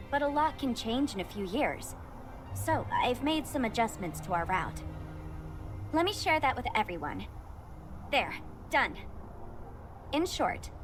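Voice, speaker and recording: Young scout woman from a video game, highish voice, helpful and attentive, music behind her. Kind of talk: scripted video game dialogue.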